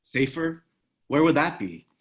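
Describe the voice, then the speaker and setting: A wary, reluctant hero with a fairly high male voice, played by a man. He is a gruff former government man in a dramatic script read-through. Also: animated, a little slow. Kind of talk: screenplay table read.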